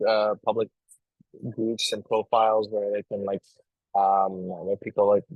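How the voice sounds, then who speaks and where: A man from Afghanistan speaking earnestly as a guest on a live online panel, pausing often and restarting his sentences. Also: on the low side, dry recording, poor audio. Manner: earnest, halting, many restarts. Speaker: astronomy outreach guest. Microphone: video call.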